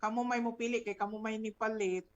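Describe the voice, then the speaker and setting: A woman's voice: on the high side, fairly even intonation, fast talker, a little mellow. A woman with a Filipino accent and Cebuano as her native language, briefing a meeting informally in an instructive way, often adding little checking tags. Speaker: program coordinator leading an online meeting. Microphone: video call. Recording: dull, lo-fi video call audio.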